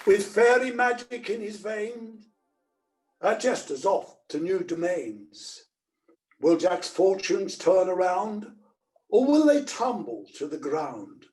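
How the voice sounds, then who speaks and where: A man's voice: high-pitched, expressive, slow pace, a little mellow, soft background music, low-quality recording. A man playing a theatrical pantomime narrator, delivering rhyming storybook verse. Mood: playful, teasing.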